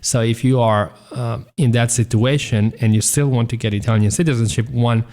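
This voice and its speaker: A man hosting a talk show, giving measured, explanatory answers as an advisor. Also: medium pitch, steady tone, a little mellow. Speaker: citizenship advisor host.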